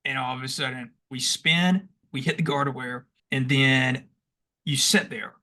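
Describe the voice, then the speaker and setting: A young man co-hosting a podcast, telling stories in a casual, animated way and acting out what he shouted. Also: medium pitch, measured pace. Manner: animated, acts out past shouts; rambling with restarts and laughs. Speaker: podcast co-host. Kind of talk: casual storytelling chat.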